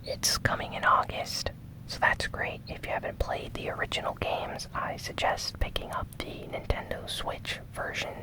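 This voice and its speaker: A host whispering a gaming news recap in ASMR style, softly and without hurry, close to the microphone. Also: low-quality recording. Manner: soft, unhurried whispering.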